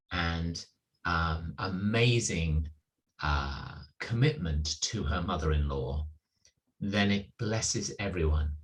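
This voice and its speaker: A man with a low voice gives an informal sermon. He speaks slowly and haltingly, often repeating words as he searches for the next phrase. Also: fairly bright tone, poor audio. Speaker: preacher.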